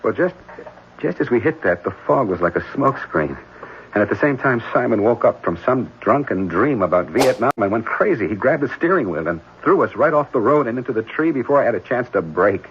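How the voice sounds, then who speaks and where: A man playing a smooth, evasive young heir in an old-time radio drama, clipped and impatient, hesitant when pressed. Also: medium pitch, big pitch swings, fairly quick, close to an everyday voice. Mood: grim, bitter. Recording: muffled, noisy old radio broadcast recording.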